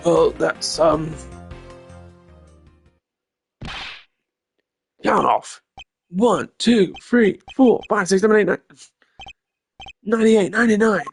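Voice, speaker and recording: A man voicing a loud, earnest, loyal police detective in a video game, blustering and flustered, with a gravelly voice. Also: sits high, a little slow, long pauses, faint music behind, pitched above the performer's own voice. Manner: blustering, stumbling, emphatic.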